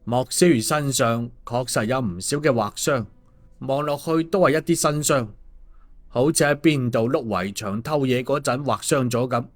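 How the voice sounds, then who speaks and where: A man's voice: medium pitch, moderate pace. A man narrating an audiobook in a colloquial storytelling style. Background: background music.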